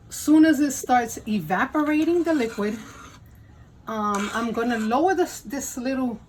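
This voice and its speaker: A woman's voice: on the high side, a little hiss or hum, poor audio. A woman in her fifties with a Puerto Rican accent hosts a casual home cooking vlog, chatty and self-deprecating, laughing often as she cooks in her kitchen. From Puerto Rico. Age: 50s. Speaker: cooking channel host.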